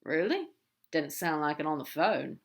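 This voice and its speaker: A sly male demon with a lowish, easygoing voice, read out by a woman. He talks with a loose, casual drawl, fond and teasing in this storybook reading. Nothing about him is showy. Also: big pitch swings, normal speed.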